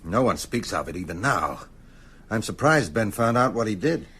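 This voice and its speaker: An actor playing an older, learned schoolteacher in a radio drama, grave and anxious, speaking with earnest, deliberate phrasing. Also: male voice, fairly low pitch, animated, a bit bright, slightly rough, exaggerated voice. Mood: grave, worried. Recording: music under the voice.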